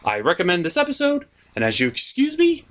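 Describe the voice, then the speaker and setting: A man with a somewhat high voice presents a comedic cartoon review. His intonation swings widely, and he leans into mock-dramatic emphasis. The commentary is scripted, with conversational asides. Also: wildly swooping pitch, a little fast, a bit bright. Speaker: host of a cartoon review show.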